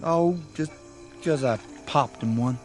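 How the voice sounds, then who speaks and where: A man's voice: medium pitch, slow pace, darkish tone, faint music behind, lightly acted. An actor with a rural American accent playing a cocky, folksy young country man in a radio drama. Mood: easygoing, cocky.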